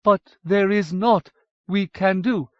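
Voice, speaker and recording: A timid male cave-dweller, slow and unwilling in every word, as a narrator voices him. The recording is dull and dry. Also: high-pitched, dry recording, voice pushed up. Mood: reluctant. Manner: slow and reluctant.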